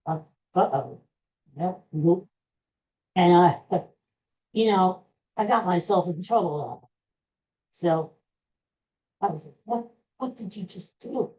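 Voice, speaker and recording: An older man with an American accent tells personal stories casually, in a halting, rambling, self-deprecating way. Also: high-pitched, a little mellow. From Pennsylvania, USA. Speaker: podcast host. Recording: dull, muffled lo-fi audio.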